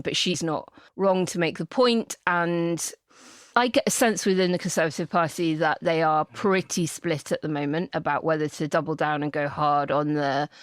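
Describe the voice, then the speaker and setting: A woman's voice: deep voice, no echo. Emphatic British woman, dry close sound. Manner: emphatic, repeats phrases, acts out imagined exchanges. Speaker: podcast co-host and polling campaigner. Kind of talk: political panel discussion.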